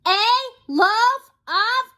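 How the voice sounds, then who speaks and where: A woman reading a children's story, voicing a loud man who booms and shouts every request. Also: lively intonation, very slow, full character voice. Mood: booming. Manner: booming, shouted lines.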